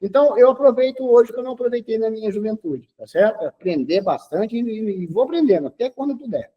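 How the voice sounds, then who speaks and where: Older male interview guest, 60s, instructive and talkative; high, husky voice. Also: lively intonation, quick pace, lo-fi sound. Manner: lecturing, tags sentences with "tá?". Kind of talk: casual interview talk.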